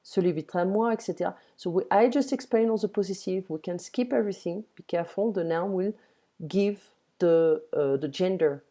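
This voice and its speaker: A woman teaching grammar in English, patiently modelling each word slowly. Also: lowish voice, mellow. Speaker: French tutor. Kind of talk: one-on-one language lesson, explaining grammar.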